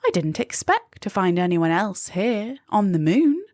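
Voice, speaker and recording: A woman playing a friendly, easygoing lively astronaut. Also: mid-range voice, a little slow, no room sound, studio quality. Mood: friendly, surprised.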